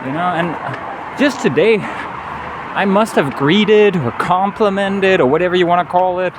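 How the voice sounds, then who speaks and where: A man's voice: sits high, moderate pace. A man with a Danish accent, a native Danish speaker, talking earnestly and rambling in a casual travel vlog, often adding "you know". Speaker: travel vlogger. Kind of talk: casual walking vlog monologue. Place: outdoors on a city street. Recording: clear street traffic noise. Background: city traffic and vehicles.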